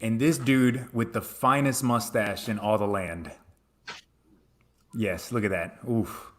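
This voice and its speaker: A man joining a live stream panel as a guest, excitable and joking, laughing often. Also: fairly low pitch, takes it slowly. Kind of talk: casual live stream chat.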